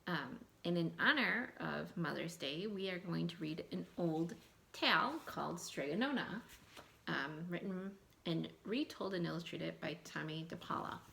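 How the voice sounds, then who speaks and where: A woman's voice: on the low side, expressive, normal speed, vocal fry, pushed and bright, slight background noise. A woman giving school announcements and reading a storybook aloud to young children. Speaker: elementary school staff member reading aloud.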